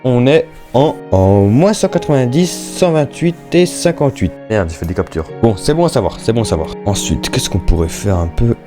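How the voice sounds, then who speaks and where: A man's voice: mid-range voice, lively intonation, moderate pace, few pauses, over music. A young man giving casual, slangy Minecraft let's-play commentary, chatting and thinking aloud as he plays. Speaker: gaming YouTuber.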